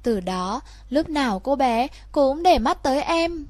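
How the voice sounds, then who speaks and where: A woman's voice: high voice, lively intonation, measured pace, a little mellow, no room sound. A woman storyteller narrating a children's fairy tale from a script.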